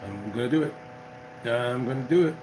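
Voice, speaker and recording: A tipsy man live-streaming as a YouTuber, chatting casually in a rambling, halting way full of restarts. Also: mid-range voice, very noisy. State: tipsy from drinking. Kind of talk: casual livestream chat.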